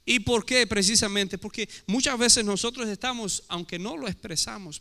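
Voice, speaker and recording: A man's voice: high voice, sharp tone. A man with a Portuguese-tinged accent preaching a sermon as pastor, emphatic and full of rhetorical questions. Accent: Portuguese-tinged Spanish. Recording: echoey hall reverb.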